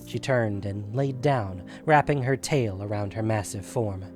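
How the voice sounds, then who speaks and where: A man narrating a scripted fantasy story in a measured, dramatic storytelling manner. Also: on the low side, over music.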